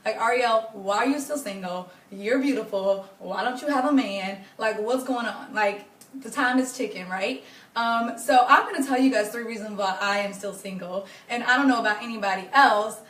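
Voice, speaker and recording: A young woman with a hoarse, raspy voice, chatting earnestly with viewers during a live stream from her home. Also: on the high side, normal speed, a bit bright, distant mic. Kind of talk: casual faith-based advice talk to viewers. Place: home living room. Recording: echoey room, voice off-mic.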